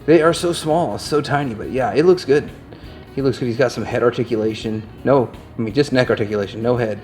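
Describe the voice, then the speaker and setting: A man's voice: medium pitch, fairly quick, a little mellow. A man presenting an unscripted product review, rambling in an easygoing way as he thinks aloud while handling items, with music behind him.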